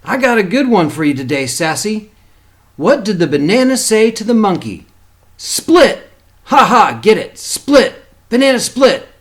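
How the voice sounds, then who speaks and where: A folksy old country uncle from a storybook, acted out by a man, pitched up high with lively swings. He is a cheerful joker, animated and full of encouragement. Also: measured pace. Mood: jokey, excited.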